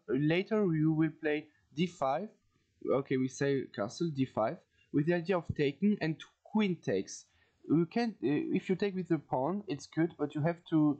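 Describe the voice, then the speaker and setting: A man from France with a French accent gives an informal lesson as a video host, haltingly searching for words and repeating phrases. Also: a little high, mellow, lo-fi sound. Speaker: chess teacher, video host. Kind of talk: informal instructional commentary.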